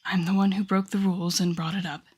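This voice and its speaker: A woman's voice: medium pitch, level tone, fast talker, darkish tone, husky, natural voice. A woman playing a wry, teasing telepath villain who mentors a rookie hero, in an intimate, conversational audio roleplay. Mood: musing, wry. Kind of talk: intimate second-person audio roleplay acting.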